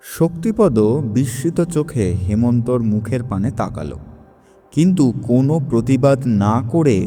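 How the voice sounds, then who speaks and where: A man's voice: mid-range voice, expressive, moderate pace, warm tone, natural voice. A man narrating a scripted audio story as its storyteller. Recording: long reverb, faint music behind.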